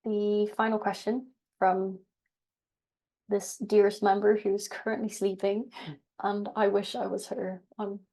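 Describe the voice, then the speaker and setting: A woman coach with a cold and a hoarse edge, speaking in an even, little-varied tone. She gives reflective, steady advice, pausing on fillers. Her voice rings in a roomy space, picked up from a distance. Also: mid-range voice. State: has a cold, voice going hoarse. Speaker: eating disorder recovery coach. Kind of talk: live group coaching Q&A.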